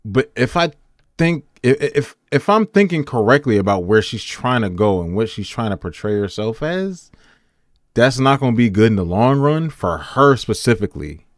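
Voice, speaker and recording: A man's voice: mid-range voice, sing-song, normal speed, no echo, clean studio sound. An American man giving casual, off-the-cuff reaction commentary in a slangy, incredulous way.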